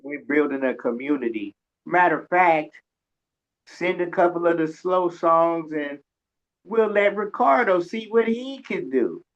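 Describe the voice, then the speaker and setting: A man hosting a radio interview, chatting casually with halting, hesitant phrasing. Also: a little high, animated, dull audio. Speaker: radio host.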